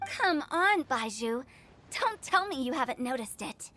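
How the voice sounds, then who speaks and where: A woman's voice: high-pitched, big pitch swings, unhurried, sharp tone, faint music behind, heavily acted. A woman playing a sly, teasing young female director in an anime game, with a pointed, theatrical delivery. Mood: suspicious.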